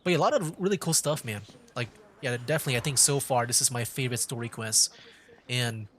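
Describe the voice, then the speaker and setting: A man streaming a video game, chatting casually about it in a rambling, halting way and often repeating himself. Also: medium pitch, a bit bright, a little hiss or hum. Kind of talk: casual chat and game commentary. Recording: faint game music behind. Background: video game music.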